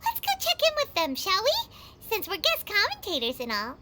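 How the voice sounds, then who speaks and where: A woman's voice: very high-pitched, huge pitch swings, normal speed, very noisy, heavily acted. A woman voicing a tiny, childlike, nosy fairy companion in an anime video game, chirpy and exclamatory. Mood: cheerful. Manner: speaks of self in third person, exclamatory. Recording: loud music behind the voice.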